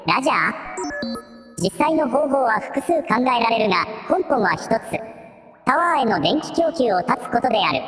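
A woman's voice: fairly high pitch, big pitch swings, moderate pace, fairly bright tone. A woman voicing a dutiful technical helper who explains in a matter-of-fact way with clipped replies, in a radio drama. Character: dutiful tech-savvy helper Steve, shy before royalty. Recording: echoey hall reverb, music behind.

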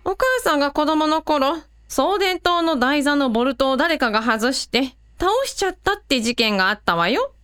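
A woman's voice: sits high, lively intonation, normal speed, hardly any pauses, fairly bright tone. A woman playing a friendly, curious and courteous village woman in an amateur radio drama.

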